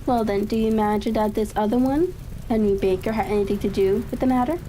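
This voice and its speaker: A woman's voice: medium pitch, fairly even intonation, quick pace, warm tone, natural voice. A woman voicing a courteous, inquisitive man, a detective's companion, in a storybook reading. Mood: curious. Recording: faint crackling ambience behind.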